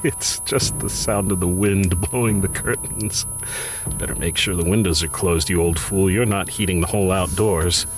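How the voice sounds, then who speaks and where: An actor playing a grief-stricken, bookish widower who mutters anxiously to himself in a theatrical old-time radio horror monologue. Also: male voice, low-pitched, moderate pace, lightly acted. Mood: nervous. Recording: music under the voice. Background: prominent music.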